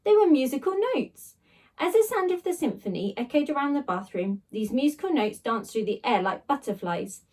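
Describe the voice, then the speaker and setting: A woman reading a children's story aloud like a teacher, with dramatic, expressive delivery and emphatic sound words. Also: high-pitched, moderate pace. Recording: voice a little distant from the microphone.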